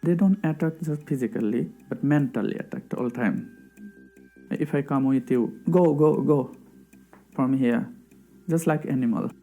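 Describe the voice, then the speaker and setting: Bangladeshi guy telling his story, broken english, lots of pauses, dark sound, faint music under it. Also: mid-range voice. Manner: halting, simple English with pauses. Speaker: asylum seeker interviewee. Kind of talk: documentary testimony.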